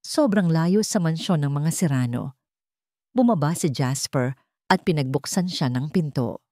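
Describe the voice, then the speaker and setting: A woman's voice: low voice, lively intonation, measured pace, mellow, no echo, clean studio sound. A woman reading a scripted dramatic story as a storyteller.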